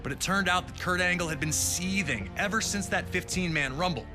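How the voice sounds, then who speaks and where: A man with a fairly high voice hosts a sports documentary. His delivery is scripted narration that builds up a rivalry. Music plays clearly underneath. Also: brisk. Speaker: documentary host. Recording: music behind voice.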